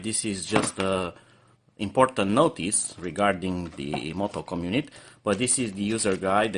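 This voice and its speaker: A man's voice: fairly low pitch, slight background noise. A man giving an unscripted product review, explaining features step by step with hesitant, slightly non-native phrasing.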